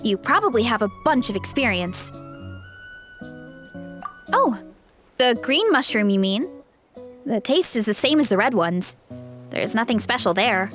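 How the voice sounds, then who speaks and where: A shy young heroine from a video game. Her voice is high and unhurried, earnest and a little hesitant, with faint music underneath. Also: big pitch swings. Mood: friendly. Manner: soft-hearted, hesitant, earnest. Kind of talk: video game character acting.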